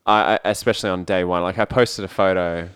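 Man, smooth low voice, relaxed rambling co-host. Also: brisk, dry recording, studio quality. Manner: easygoing, rambling storyteller. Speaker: podcast co-host. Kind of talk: casual chat.

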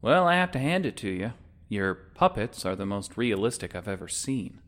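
A man's voice: lowish voice, big pitch swings, normal speed, a bit bright. An actor playing a wry young would-be freedom fighter in a sci-fi audio drama, talking in a casual, sardonic way as he bargains. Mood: coaxing. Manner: casual, sardonic, informal wording. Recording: music under the voice.

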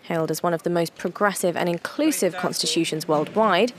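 A woman's voice: lowish voice, brisk. Woman reporting over noisy crowd sounds. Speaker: news correspondent. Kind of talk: scripted news report voice-over.

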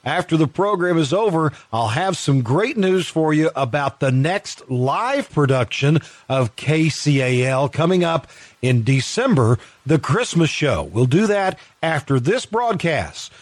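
A man's voice: on the high side, very animated, unhurried, no room sound. An American man hosting a radio show, introducing it in a folksy announcer manner. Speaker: producer and host of a radio troupe's show.

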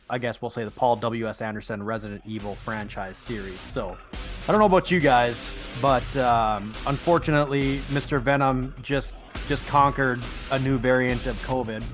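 A man's voice: medium pitch, fairly bright tone. A man hosting a podcast, giving hyped, joking introductions in casual chat. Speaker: podcast host.

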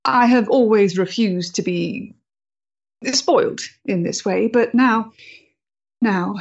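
An actress playing a proud, emotionally fragile 1890s woman in love, with a measured, theatrical way of talking in a period stage drama. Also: medium pitch, creaky, a little mellow, breathy edge, highs cut off, full character voice. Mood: tender, unsteady.

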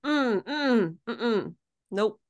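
A woman's voice: a little high, lively intonation, drawn-out words. A woman hosting a podcast in casual chat, reacting playfully with hums and short exclamations. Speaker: podcast host.

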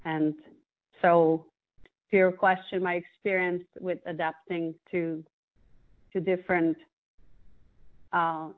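A woman with a Czech accent answers interview questions in a thoughtful way, restarting her sentences often. Her voice sits low and stays fairly level. The sound is squeezed and narrow, like a phone call. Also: flat delivery, slow, pauses often. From Czech Republic. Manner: thoughtful, many restarts and fillers. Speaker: guest. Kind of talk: interview conversation.

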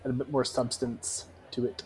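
A man's voice: medium pitch, level tone, moderate pace, darkish tone, husky, poor audio. A man bantering casually as a podcast co-host, blunt and joking in his way of talking.

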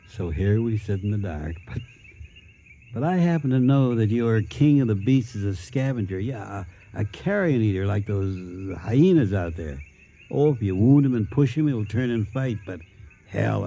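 An actor playing a skeptical, sardonic American tourist on safari in a radio drama, with an American accent and a wry, halting delivery. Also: male voice, fairly low pitch, dark tone, highs cut off, a little hiss or hum.

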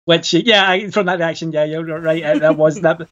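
Glaswegian man, podcast guest, halting delivery, dull lo-fi sound. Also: a little high, steady tone, fairly bright tone. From Scotland. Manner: hesitant, many fillers and restarts. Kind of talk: casual chat.

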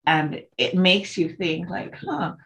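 A woman hosting a conversational interview, inquisitive and expressive as she reflects back and asks questions. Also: on the low side, slow pace, vocal fry. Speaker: interview host.

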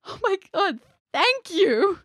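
A woman voicing a grateful tavern patron who has just remembered who they are, gushing with high emotion. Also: big pitch swings, slow pace, fairly bright tone, no room sound, studio quality, heavily acted. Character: overjoyed once-forgotten tavern patron, suddenly vivid.

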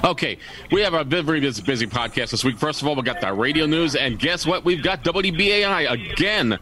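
A man co-hosts a radio show in a bright, forceful voice. He talks quickly and rambles, correcting himself as he goes, with faint noise on the recording. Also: on the high side, animated. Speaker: co-host. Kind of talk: casual chat previewing a radio show.

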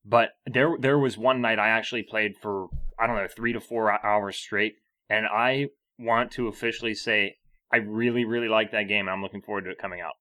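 A man's voice: fairly low pitch, steady tone, a little fast, bright, forceful tone. A young man co-hosting a casual podcast, making dry wisecracks. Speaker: podcast co-host. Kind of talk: casual chat.

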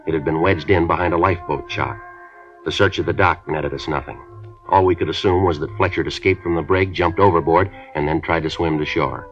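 An actor playing a terse police detective who narrates the case in a flat, clipped, matter-of-fact way, on an old radio drama recording. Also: male voice, sits low, fairly quick, faint music behind. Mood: flat report. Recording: dull 1950s radio transcription.

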